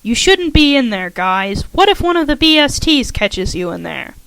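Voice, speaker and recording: A woman's voice: high-pitched, big pitch swings, normal speed, vocal fry, fairly bright tone, clear, resonant tone, dry recording, pitched above the performer's own voice, full character voice, low-quality recording. Woman reading nervous young student, raised voice, uneasy bravado, dry close sound. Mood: calling out.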